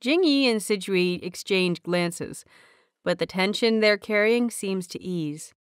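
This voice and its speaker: A woman's voice: medium pitch, slow pace, smooth, no room sound, studio quality. A woman reading a scripted fan fiction story aloud as its narrator.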